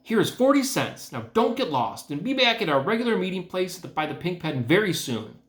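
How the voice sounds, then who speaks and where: A man's voice: high-pitched, sing-song, brisk, a bit bright, voice pushed up. A man voicing a fussy, practical middle-aged farm mother from a storybook, giving bossy motherly instructions. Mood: nagging. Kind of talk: storybook character voice in a read-aloud. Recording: echoey room.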